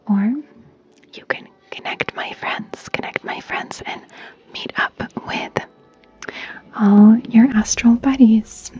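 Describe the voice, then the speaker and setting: Young female ASMR artist, breathy close-mic whisper, slow soothing delivery as a gentle art teacher. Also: a little high, lo-fi sound. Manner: soft, soothing, gentle, repeating phrases. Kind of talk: ASMR roleplay, personal attention. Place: small bright plant-filled room indoors. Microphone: close studio microphone with fluffy cover.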